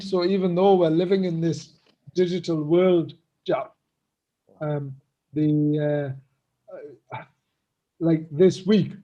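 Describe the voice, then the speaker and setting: A man with a slightly croaky voice speaks slowly and hesitantly, filling his pauses with ums. His tone is mellow, and the audio is dull and lo-fi. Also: on the high side. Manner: hesitant, halting delivery with many fillers. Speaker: co-host. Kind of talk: casual business chat.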